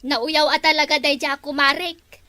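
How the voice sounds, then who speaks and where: A woman's voice: high voice, fairly even intonation, normal speed, sharp tone, no room sound. A woman with an Ilocano accent playing an inquisitive, incredulous village gossip in a comedy drama. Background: prominent music.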